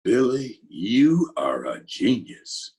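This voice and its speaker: A man playing a gruff, laconic Broadway big shot with a soft heart, in old-time radio drama style. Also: medium pitch, huge pitch swings, slow, heavily acted. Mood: impressed. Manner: gruff, laconic street slang. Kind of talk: 1940s radio drama acting.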